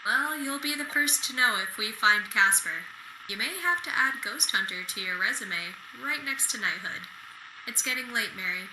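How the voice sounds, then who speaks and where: A woman's voice: a little high, animated, normal speed, bright, forceful tone, reverberant, slight background noise, low-quality recording. A woman playing a friendly, lightly teasing mother in a radio drama.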